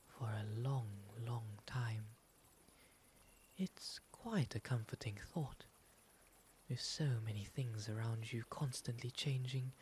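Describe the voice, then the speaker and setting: A man plays a courteous, soft-spoken owl demon gentleman in an intimate ASMR roleplay. The breathy, half-whispered voice sits at a medium pitch and moves slowly through polite, soothing phrases. A faint ambient noise runs behind it. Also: close to an everyday voice. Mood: serene, soothing.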